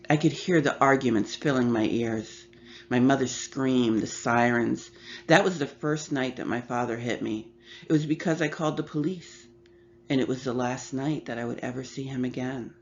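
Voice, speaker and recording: A woman reading a first-person horror story aloud in a measured, sometimes hushed voice. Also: rumbling low. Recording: slightly muffled with faint hum.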